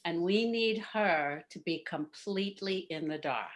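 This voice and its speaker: A woman's voice: on the low side, a little slow, close to an everyday voice. A woman playing a scheming, businesslike show boss in a campy retro radio noir play. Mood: conspiratorial, brisk.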